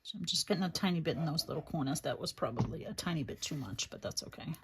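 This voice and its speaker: A woman's voice: deep voice, expressive, quick pace, noisy background. A woman with a Boston accent chatting casually as she walks through a crafting project, thinking aloud. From Boston area, USA. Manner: chatty, thinks aloud, drops into quiet asides. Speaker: crafting channel host. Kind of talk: casual crafting tutorial chat.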